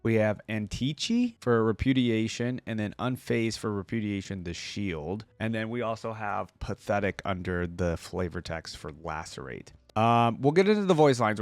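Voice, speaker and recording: Male reaction host, lowish register, casual and conversational read-aloud delivery, very dry recording. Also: no room sound. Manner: conversational asides while reading aloud. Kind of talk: casual reaction commentary mixed with reading wiki text aloud.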